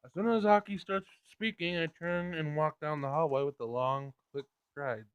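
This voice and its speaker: A man's voice: a little high, steady tone, moderate pace, smooth, muffled recording. A man reading visual novel narration aloud as he plays, stumbling over words now and then. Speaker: gameplay streamer reading aloud. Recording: game music behind. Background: game music.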